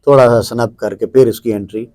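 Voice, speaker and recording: A man's voice: medium pitch, fairly even intonation, darkish tone, dry recording, clean studio sound. A middle-aged Pakistani man hosting a conversational interview, mixing English words and phrases into his talk. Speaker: host.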